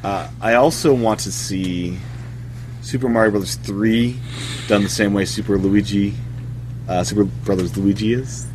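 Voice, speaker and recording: A man's voice: fairly low pitch, fairly even intonation, normal speed, noisy background. A man hosting a casual video game podcast who chats, laughs often and trails off mid-sentence. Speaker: podcast host. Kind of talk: casual chat about video games.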